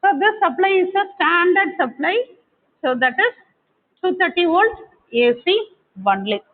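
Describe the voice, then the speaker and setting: A woman giving a technical lecture, explaining step by step in short, halting phrases. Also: extremely high pitch, lively intonation. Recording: tinny, phone-like narrowband audio.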